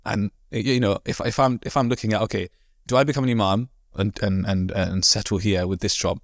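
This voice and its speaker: A man from Devon, an imam, talking casually as a podcast guest in quick, rambling sentences full of fillers and restarts. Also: lowish voice, fairly even intonation, no echo, clean studio sound. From Devon, England. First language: not Arabic. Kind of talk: casual conversational interview.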